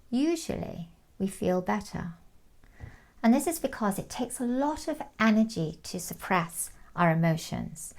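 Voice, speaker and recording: A woman leading a guided meditation in a calm, soothing, unhurried manner, with soft phrase endings and long pauses. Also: mid-range voice, gravelly. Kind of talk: guided meditation, gentle instruction.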